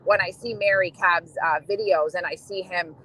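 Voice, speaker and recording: A woman's voice: on the low side, fairly even intonation, normal speed, bright, forceful tone, slight background noise, low-quality recording. A woman talking hesitantly in a casual group chat, turning to jokes. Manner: hesitant, then joking. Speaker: call-in guest.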